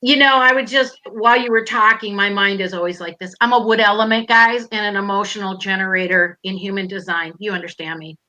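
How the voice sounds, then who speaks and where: A woman in her fifties with a Minnesota accent, hosting a casual online chat and talking straight to viewers in a blunt, excitable way. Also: mid-range voice, brisk, hardly any pauses, poor audio. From Minnesota, USA. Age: 50s. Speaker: host.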